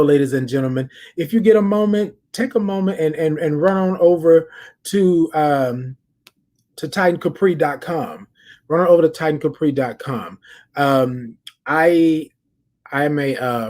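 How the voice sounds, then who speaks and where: American guy doing a chatty podcast check-in, voice on the high side, repeats stuff to drive it home. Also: darkish tone. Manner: emphatic, repeats phrases for emphasis; addresses listeners directly as an audience. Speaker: podcast host. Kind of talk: casual chatty check-in and storytelling.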